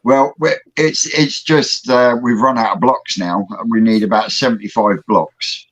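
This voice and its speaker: A man's voice: measured pace, poor audio. A man co-hosting a casual live chat, rambling and laughing easily. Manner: rambling, laughs often, drops low and quiet. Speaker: co-host.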